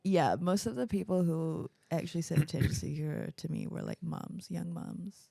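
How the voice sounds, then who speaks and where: A woman's voice: lowish voice, steady tone, moderate pace, vocal fry, mellow, no room sound. A young woman co-hosting a podcast, telling stories in casual, bubbly chat. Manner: chatty, bubbly storyteller with laughs. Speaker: podcast co-host.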